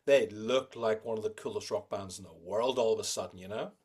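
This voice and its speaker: A man's voice: on the low side, brisk, darkish tone, husky. A man appearing as a podcast guest, chatting casually and earnestly. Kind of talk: casual fan chat.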